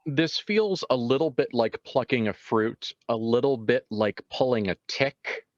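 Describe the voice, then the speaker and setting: A man improvising horror narration as a tabletop game master, halting and informal as he searches for words. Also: medium pitch, dry recording, muffled recording.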